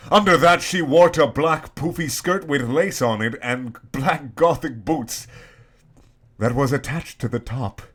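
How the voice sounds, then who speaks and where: Slow, deliberate man reading aloud. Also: a little high, fairly bright tone, slightly rough. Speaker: reader. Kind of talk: comedic dramatic reading of fan fiction.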